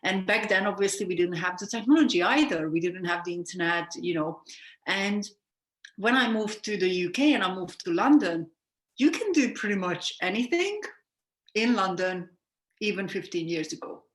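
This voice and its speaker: A woman's voice: mid-range voice, animated, normal speed. Woman, roomy sound. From Hungary. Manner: earnest, reflective, self-correcting. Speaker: sleep coach guest. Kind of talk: explanatory interview talk. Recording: roomy echo.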